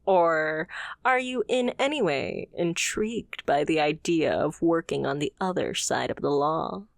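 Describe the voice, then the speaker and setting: Poised, low-voiced supervillainess, acted by a woman. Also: expressive, gravelly, no echo, clean studio sound, heavily acted. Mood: teasing, intrigued. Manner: poised, teasing, condescending, deliberate. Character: confident female supervillain boss, haughty yet playfully amused. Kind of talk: scripted ASMR roleplay.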